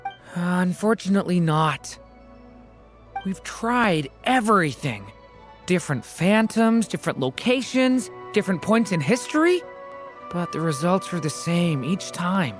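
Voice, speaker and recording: Gentle earnest young mage boy from a fantasy game, lively swinging pitch, loud music behind. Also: a little slow. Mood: troubled. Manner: earnest, hesitant, sincere. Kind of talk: scripted game dialogue. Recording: music clearly under dialogue.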